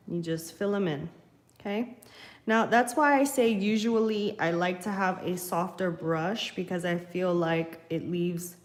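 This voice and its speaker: A young woman hosting a live craft tutorial at home, getting over a cold and a little sniffly, chatting to viewers while she works. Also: medium pitch. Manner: slow, chatty, talks to viewers while working. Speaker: craft channel host. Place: home craft table, indoors.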